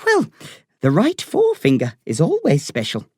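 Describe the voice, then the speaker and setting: A man voicing a kindly, cheeky Irish woman doctor in her late fifties for an audiobook, brisk and playful. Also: mid-range voice, wildly swooping pitch, warm tone, slightly rough, no echo, clean studio sound, pitched above the performer's own voice. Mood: playful, encouraging. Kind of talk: audiobook character voice.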